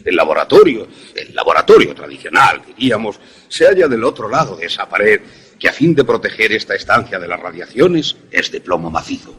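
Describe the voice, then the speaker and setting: A man playing a courteous, eccentric scientist in a suspense radio play, formal and deliberate as he explains his invention. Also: fairly low pitch, big pitch swings, fairly bright tone, husky, exaggerated voice. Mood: courteous, proud.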